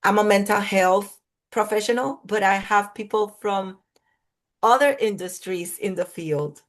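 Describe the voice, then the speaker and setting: A woman whose first language is Spanish, a clinical psychologist being interviewed on a video call, speaking in careful, list-like phrasing. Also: medium pitch. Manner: slow, thoughtful, list-like phrasing. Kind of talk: interview conversation.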